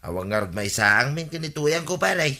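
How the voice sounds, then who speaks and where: A man's voice: fairly high pitch, very animated, normal speed, bright, forceful tone. A man with an Ilocano accent playing an idle village man who whines and wheedles, in radio comedy drama style. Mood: whining, protesting.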